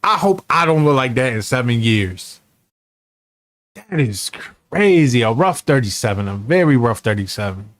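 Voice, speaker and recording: A man's voice: medium pitch, sing-song, normal speed, dry recording. A man in his thirties riffing over a video he's watching, his voice bouncing up and down as he teases and jokes in easygoing slang. It sounds close and dry, with no room around it. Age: 30s. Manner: mocking, laid-back banter with slang. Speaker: streamer reacting to a video. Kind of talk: casual reaction commentary.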